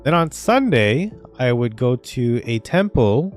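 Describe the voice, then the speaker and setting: A man's voice: medium pitch, sing-song, a little slow. A young man streaming a game, telling stories in a loose, rambling way full of "like" and "uh". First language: Vietnamese. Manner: rambling, filler-heavy storytelling. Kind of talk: casual gaming stream chat. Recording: faint game music behind.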